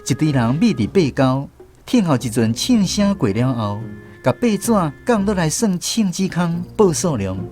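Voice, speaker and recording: Male Taiwanese storyteller for radio drama, highly animated delivery, faint music bed. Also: moderate pace. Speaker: narrator.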